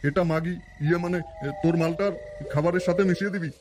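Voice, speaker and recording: Casting: man as crude fraudulent holy man, high male voice, pompous chanting delivery, comic cartoon, noticeably noisy recording. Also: steady tone, quick pace, lightly acted. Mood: pompous, assured. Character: bombastic, vulgar fraudulent tantric holy man.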